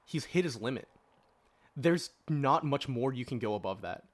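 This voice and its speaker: A man's voice: mid-range voice, lively intonation, moderate pace. A man co-hosting a podcast, giving his opinions emphatically in casual conversation. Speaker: podcast co-host. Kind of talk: casual chat.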